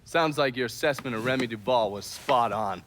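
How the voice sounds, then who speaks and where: A man's voice: medium pitch, moderate pace, a bit bright, a little hiss or hum, lightly acted. An actor playing a foul-mouthed, cynical American man who supplies intel in a video game, blunt and sardonic. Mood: contemptuous. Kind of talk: dramatic video game acting.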